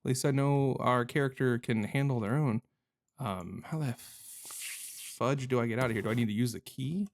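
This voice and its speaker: A man's voice: mid-range voice, normal speed, vocal fry. A man giving casual, reactive gameplay commentary in a rambling, jokey manner with goofy mild exclamations. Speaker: gamer hosting a playthrough video.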